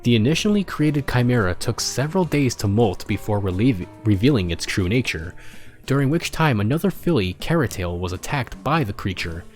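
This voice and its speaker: A man's voice: medium pitch, very animated, moderate pace, faint music behind, close to an everyday voice. Formal clinical doctor, read by a man. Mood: clinical, grave.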